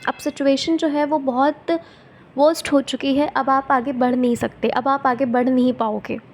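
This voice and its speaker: A woman tarot reader with a sore throat, speaking softly and gently, often checking in with her viewers. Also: level tone, normal speed. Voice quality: soft and low-volume.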